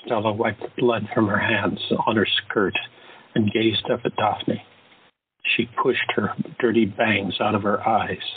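Steady male narrator, lowish voice, phone-thin sound, soft music. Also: a little slow, slightly rough, narrow, tinny audio. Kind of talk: scripted audio drama narration.

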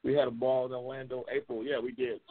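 A man's voice: medium pitch, level tone, fast. A man in his seventies hosting a casual live stream, joking and trash-talking with viewers as he reads their comments. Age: 70s. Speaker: host. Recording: tinny phone-like lo-fi sound.

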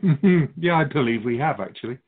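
Through a thin, phone-like band comes a man acting as a wise spirit from beyond, calm and measured. He speaks philosophically in radio drama dialogue, gently teasing the humans he guides. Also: medium pitch, slightly rough. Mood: amused, explaining. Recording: muffled phone-like narrow band.

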